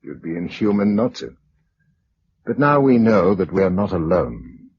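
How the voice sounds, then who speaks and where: Calm English survivor, dull old recording. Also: male voice, sits low, slightly rough, exaggerated voice. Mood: steady, reassuring. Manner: measured, matter-of-fact. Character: calm, practical man surviving a catastrophe, protective of his companion. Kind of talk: vintage BBC radio drama acting.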